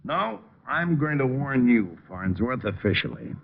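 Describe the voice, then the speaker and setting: An actor playing a stolid police lieutenant in an old radio crime drama, blunt and deadpan with an official manner. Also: male voice, medium pitch, measured pace, creaky, full character voice. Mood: official, firm. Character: dry, stolid male police homicide lieutenant. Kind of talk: 1940s-50s radio crime drama acting. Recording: muffled old radio broadcast.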